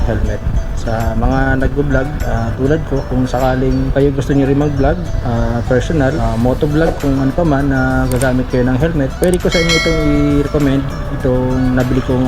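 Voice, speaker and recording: A man giving a casual vlog tutorial in a halting, conversational way, repeating himself as he goes. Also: mid-range voice. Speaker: motovlogger and motorcycle taxi rider. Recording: music playing behind the voice. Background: background music.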